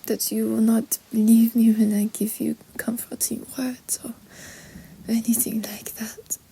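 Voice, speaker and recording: A woman playing a vulnerable young French roommate in an intimate roleplay audio, speaking in a breathy, halting, tender way with a French accent. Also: on the high side, little pitch movement, warm tone, slight background noise. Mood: tearful, hesitant. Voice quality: breathy, whispery.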